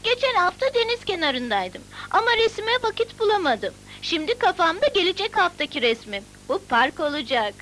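A woman's voice: very high-pitched, sing-song, normal speed, hardly any pauses, bright, forceful tone, a little hiss or hum, full character voice. A woman voicing a friendly, matter-of-fact young city girl in a Turkish cartoon dub. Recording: old VCD dub with music.

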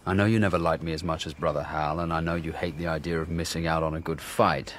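An actor playing a forceful, stubborn warrior king in a radio drama, blunt and commanding. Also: extremely low pitch, steady tone, fast, a little hiss or hum. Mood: earnest command.

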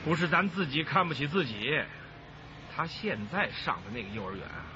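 A hearty migrant foreman in a radio drama, boastful and sure of himself. His voice sits fairly high and moves freely in expansive, homespun speech. The soundtrack is muffled with clear background noise. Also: sing-song, fairly quick. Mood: confiding, opinionated. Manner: expansive, folksy, self-assured.

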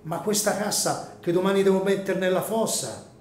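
A man's voice: high voice, normal speed, reverberant, a little hiss or hum, close to an everyday voice. A man playing an anxious, scrupulous cemetery caretaker in an Italian radio drama, agitated and hesitant. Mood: alarmed, protesting. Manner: agitated, hesitant, repeats words.

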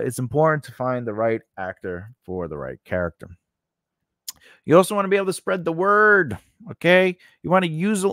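Man, lively varied pitch, dry close sound, informal instructor, conversational, repeats himself. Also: no echo. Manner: conversational, repeats phrases and checks in with "okay?" and "all right?". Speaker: instructor and host of a writing lesson. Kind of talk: informal screen-share tutorial, unscripted.

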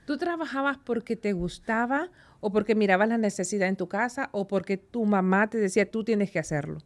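A woman's voice: medium pitch, lively intonation, brisk. A middle-aged woman interviewing as a radio host in a studio, putting in frequent short interjections. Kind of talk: conversational interviewing. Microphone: close desk microphone.

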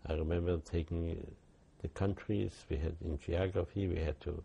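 An older man, giving halting oral history testimony with many restarts. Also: sits low, steady tone, gravelly, warm tone, slightly rough. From Poland. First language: Yiddish. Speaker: interviewee.

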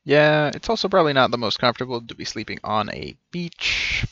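A man's voice: mid-range voice, expressive, brisk, pushed and bright, dry recording, lo-fi sound. A man in his thirties giving casual, wry live commentary on a game, muttering asides. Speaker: game streamer. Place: indoor room at home. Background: game music.

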